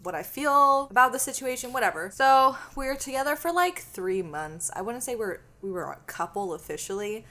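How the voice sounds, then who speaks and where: A woman's voice: on the high side, big pitch swings, normal speed, few pauses, bright, forceful tone. A woman in her twenties, co-hosting a podcast and telling stories in a chatty, giggly way. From Canada. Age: 20s. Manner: giggly, chatty storyteller with frequent laughs. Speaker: podcast co-host. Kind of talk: casual chat.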